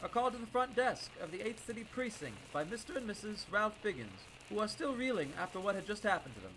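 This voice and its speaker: An actor playing the narrator of an old-time radio serial, reading scripted story in a theatrical way. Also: male voice, high-pitched, very animated, fast, echoey room, background noise. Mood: dramatic.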